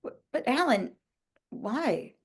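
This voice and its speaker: A woman playing an earnest, pleading young woman with a husky, creaky voice in a dramatic table read. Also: high voice, very animated, takes it slowly, heavily acted. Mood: shocked.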